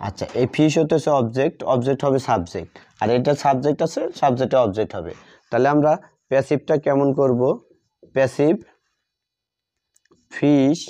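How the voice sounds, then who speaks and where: A man with a Bengali accent teaching a grammar lesson step by step, dictating in short, halting pieces and repeating himself. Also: mid-range voice, dark tone. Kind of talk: step-by-step lesson, explaining while writing. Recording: dull, muffled lo-fi audio.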